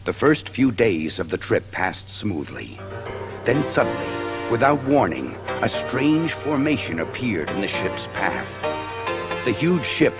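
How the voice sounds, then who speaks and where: A man's voice: lowish voice, big pitch swings, a little slow. A man playing a solemn elder insect warrior, telling sacred history in a grave, theatrical storybook-record style. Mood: solemn, grand. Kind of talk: dramatic scripted storytelling on a children's story record. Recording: studio story record with music underneath.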